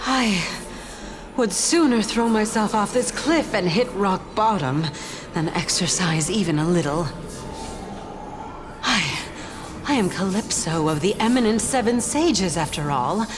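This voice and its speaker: Woman playing solemn learned sage, haughty, dry, measured slow speech, game drama, music underneath. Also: medium pitch, lively intonation, lightly acted. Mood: haughty, grumbling. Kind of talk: dramatic video game voice acting. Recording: game dialogue over prominent music.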